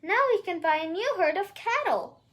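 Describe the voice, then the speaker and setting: A woman's voice: very high-pitched, expressive, normal speed, a bit bright. A woman voicing a plucky young cowgirl in a storybook, earnest and resolute. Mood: hopeful. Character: plucky, determined young cowgirl in a fairy tale.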